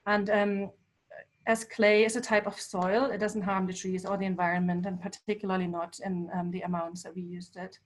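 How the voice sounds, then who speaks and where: A woman whose first language is German, giving a thoughtful presentation about her artwork to a small gathering, with frequent pauses and filler words. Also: medium pitch, level tone. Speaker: artist giving a talk. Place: local climate cafe event.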